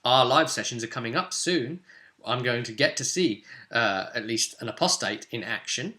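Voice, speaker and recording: A man's voice: mid-range voice, lively intonation, normal speed, pushed and bright. A man hosting an informal tabletop roleplaying guide, talking directly to viewers in a conversational, explanatory way. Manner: conversational, addresses viewers directly with tag questions. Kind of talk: informal explainer, part ad-libbed, part reading rules aloud.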